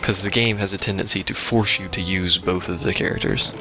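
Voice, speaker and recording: Low, gravelly man doing game commentary. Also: fairly even intonation, a little fast. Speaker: let's play host. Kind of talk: casual gameplay commentary. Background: game music and sounds.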